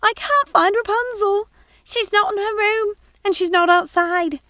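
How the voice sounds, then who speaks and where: A woman's voice: extremely high pitch, unhurried, sharp tone, dry recording, studio quality, voice pushed up, full character voice. A woman voicing a flustered, fretful female servant in an audiobook, with urgent, exclaiming delivery. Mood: worried, fretful. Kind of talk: audiobook character voice.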